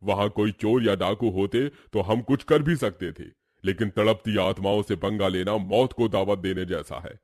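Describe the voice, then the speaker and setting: Man as solemn, low-voiced village headman. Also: moderate pace, hardly any pauses, a little mellow, no room sound, clean studio sound, natural voice. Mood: grave resolve. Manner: formal, solemn. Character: grave village headman announcing council's decision. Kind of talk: horror cartoon storytelling dialogue.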